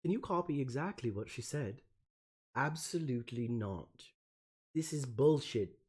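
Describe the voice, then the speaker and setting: A man's voice: medium pitch, lively intonation, unhurried, a little mellow, slightly rough. A man voicing a petulant, haughty teenage alien troll prince in an amateur comic reading, pompous and indignant in archaic, sweary speech. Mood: suspicious, indignant.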